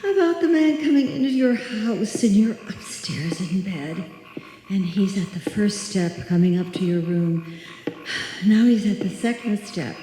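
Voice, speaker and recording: A woman playing a frightened woman alone at night, talking haltingly to herself in a live radio suspense play. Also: mid-range voice, lively intonation, warm tone, natural voice. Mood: uneasy recalling. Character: frightened woman walking home alone at night, self-reassuring. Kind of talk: live old-time radio suspense acting. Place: live stage performance in a hall. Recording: echoey hall, long reverb, faint noise.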